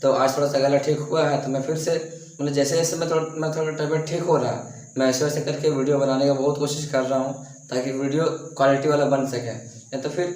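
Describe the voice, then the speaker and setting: A young man teaching math on a board, speaking softly with a sore throat, coughing and restarting his sentences. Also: mid-range voice, flat delivery, brisk. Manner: repeats and restarts himself, coughs now and then. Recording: echoey room.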